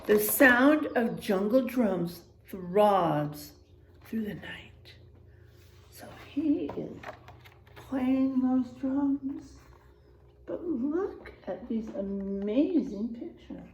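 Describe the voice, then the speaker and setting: A woman reading a picture book aloud to children in a teacherly, expressive way, checking in with her listeners as she goes. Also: on the high side, extremely slow, roomy sound, slight background noise, rough recording. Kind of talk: animated children's read-aloud with asides to listeners.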